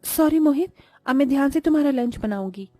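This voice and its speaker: A woman acts out a meek young daughter from a cartoon tale. Her voice is high and pleading, apologetic, with faint music behind it. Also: lively intonation, fairly quick, warm tone, no echo, clean studio sound. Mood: earnest. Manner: earnest, pleading. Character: apologetic, hurt 25-year-old daughter, eager cook.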